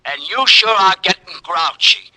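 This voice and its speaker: A man's voice: high-pitched, expressive, normal speed, over music, low-quality recording. A man acting a hesitant, put-upon American junior policeman in an old radio drama. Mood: sheepish.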